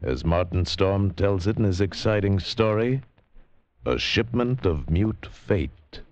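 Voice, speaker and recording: Very deep, portentous radio announcer man. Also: slow pace, over music. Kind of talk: dramatic 1940s radio introduction. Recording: dull lo-fi vintage radio.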